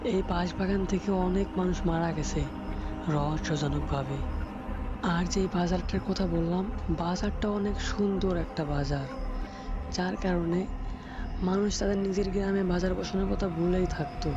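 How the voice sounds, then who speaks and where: A man's voice: high voice, fairly even intonation, moderate pace. A man narrating a cartoon folk tale in a scripted storytelling style. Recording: dull lo-fi audio under clear music.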